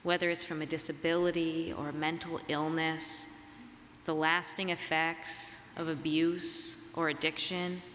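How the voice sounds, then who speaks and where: A woman preacher delivering a reflective, measured sermon, her voice slightly raspy as she gets over a cold. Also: deep voice, level tone, fairly bright tone. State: getting over a cold and flu. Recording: reverberant hall, clear background noise.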